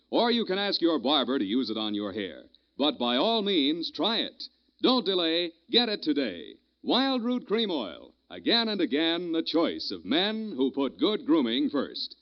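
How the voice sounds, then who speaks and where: An American man announcing a vintage 1940s radio show and delivering a polished, emphatic scripted sales pitch. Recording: muffled old radio recording with music.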